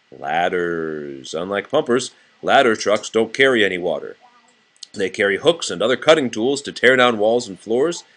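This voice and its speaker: A man reading a nonfiction children's book aloud to a child in a plain, explanatory way. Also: lowish voice.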